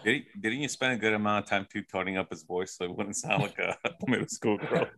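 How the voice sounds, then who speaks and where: A man's voice: fairly low pitch, fairly quick. A man co-hosting a podcast, casually ribbing his friends. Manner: playful ribbing, lively delivery. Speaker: podcast co-host. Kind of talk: casual chat.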